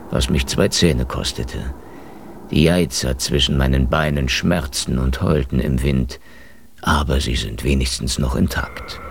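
An actor playing a battered, sardonic hard-boiled agent who narrates a radio drama in a wry, deadpan, coarse way. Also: male voice, rumbling low, gravelly, noisy background, heavily acted. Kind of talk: noir radio drama first-person narration. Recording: music bed under the voice. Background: music.

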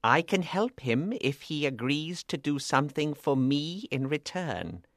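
A quiet old wizard, Merlin. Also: male voice, fairly high pitch, expressive, no echo, clean studio sound. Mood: calm, knowing. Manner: quiet, measured. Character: wise old male magician, calm and knowing. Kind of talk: storybook reading.

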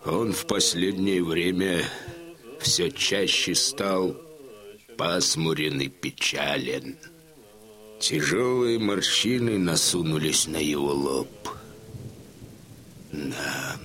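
A proud Cossack warrior in a radio play. The voice is low and bright with force, very slow and solemn, stressing words with staunch conviction. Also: male voice, creaky, full character voice. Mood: solemn concern. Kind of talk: theatrical radio drama dialogue.

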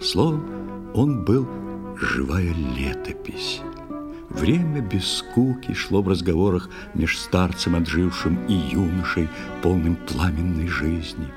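A man narrating a poetic tale for an audio play in a lyrical, measured, expressive storytelling manner. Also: deep voice. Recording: music under the voice. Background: musical instruments playing.

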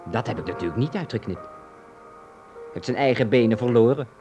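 A man's voice: mid-range voice, animated, moderate pace, warm tone, soft background music, close to an everyday voice. An actor playing a brash, teasing young companion of a sick boy in a Dutch radio drama, blunt and mocking. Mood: gleefully mocking.

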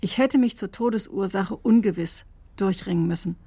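A conscience-stricken woman doctor in a radio drama. Her voice is mellow and relaxed in tone, with a husky edge. She recounts events precisely and clinically, guarded and defensive. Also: medium pitch, moderate pace. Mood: matter-of-fact. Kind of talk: German radio drama acting, testimony-like narration.

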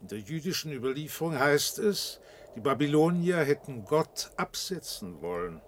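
An actor playing an earnest, old-fashioned reservation dweller in a science-fiction radio drama, speaking formally and deliberately, like a guide explaining things. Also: male voice, fairly high pitch, sing-song, a little hiss or hum. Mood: earnest, explanatory.

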